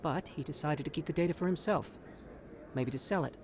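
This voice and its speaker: An actor voicing an eager, evasive alien scientist in a video game, with a persuasive, self-justifying manner. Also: male voice, a little high, fairly quick, natural voice. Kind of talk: video game character acting.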